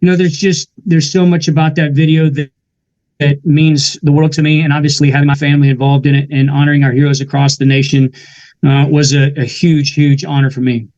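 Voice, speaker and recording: A man in his forties, a veteran and musician, telling his story in an earnest, steady way during a casual interview. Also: fairly high pitch, a little fast, muffled recording. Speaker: guest musician and army veteran.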